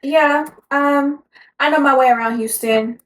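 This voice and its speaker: A woman's voice: high-pitched, unhurried. A woman in her twenties from Tampa, co-hosting a casual chat, with laid-back slangy banter and frequent laughs. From Tampa, Florida. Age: 20s. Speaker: podcast co-host.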